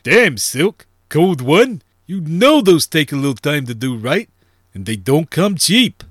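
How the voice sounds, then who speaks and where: A man's voice: medium pitch, animated, a little slow, fairly bright tone, dry recording, clean studio sound. A man voicing a portly, wary underworld forger in a narrated story, grumbling in blunt street slang. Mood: grumbling, reluctant. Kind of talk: acted character dialogue in a narrated story.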